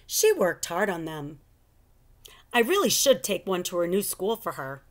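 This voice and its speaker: A woman voicing a kindly, considerate male school gardener musing gently to himself in a storybook. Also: fairly low pitch, sing-song, a little slow.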